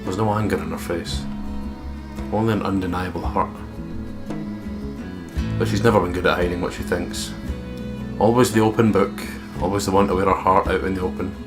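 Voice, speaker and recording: A low-pitched man from the UK hosts a let's play, reading story text aloud and chatting in asides. Clear game music plays behind his voice. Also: fairly even intonation, brisk, long pauses. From United Kingdom.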